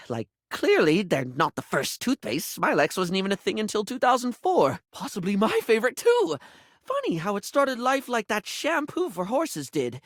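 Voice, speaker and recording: A man's voice: high-pitched, a bit bright, no echo, clean studio sound, heavily acted. A male actor voicing a flamboyant, giddy, chatty bathroom beauty character in a video game, theatrical and gushing, with campy pet names.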